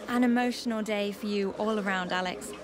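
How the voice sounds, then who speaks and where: An actress playing a brisk, professional post-match sports reporter putting TV interview questions in a video game. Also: female voice, medium pitch, fairly even intonation, a crowd in the background, natural voice.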